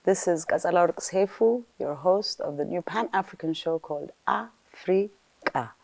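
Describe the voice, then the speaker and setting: A woman's voice: fairly low pitch, unhurried, a little mellow, no echo. A woman hosting a talk show interview, asking questions in a friendly way with short encouraging replies. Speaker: host.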